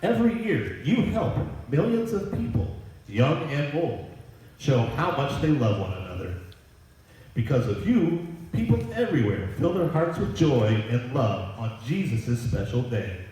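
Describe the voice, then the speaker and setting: Male reader as God, kindly, encouraging, storybook tone, animated pitch, very reverberant distant sound. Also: measured pace. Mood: grateful, gentle. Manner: warm, gentle, encouraging. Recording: echoey room, distant microphone.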